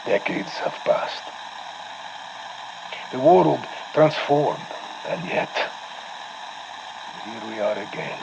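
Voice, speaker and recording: Gravelly, weary old Russian soldier. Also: male voice, medium pitch, animated, muffled recording. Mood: weary, brooding. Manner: slow, grave, reflective. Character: weary older Russian ex-Spetsnaz soldier, brooding. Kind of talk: scripted audio-log monologue. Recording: noisy radio audio log.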